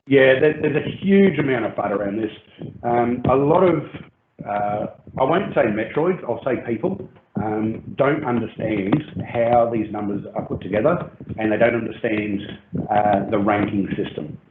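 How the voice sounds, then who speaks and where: A man's voice: on the low side, normal speed. A man leading a crypto project's question session, explaining at length with frequent ums and restarts, heard over an online call. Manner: hesitant, many ums and restarts. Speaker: crypto project team lead. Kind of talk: explanatory AMA talk. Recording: tinny phone-like call audio, roomy and noisy.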